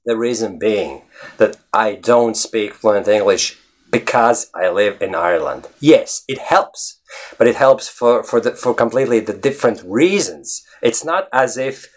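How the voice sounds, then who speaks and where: A man's voice: fairly low pitch, lively intonation, measured pace. A man from Latvia, a native Latvian speaker with a Latvian accent, talking casually and emphatically to his online audience as an English-learning vlogger. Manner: emphatic, conversational, often checks with "you know what I mean". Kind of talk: casual explanatory talk to viewers.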